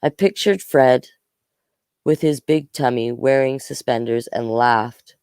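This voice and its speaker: An informal storyteller reads a novel for older children aloud. The voice is clean and smooth at a middling pitch, recorded dry with little room sound, and acts out the dialogue along the way. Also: slow pace, a little mellow, no room sound. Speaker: storyteller, host of a read-aloud series. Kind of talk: reading a children's novel aloud with casual asides.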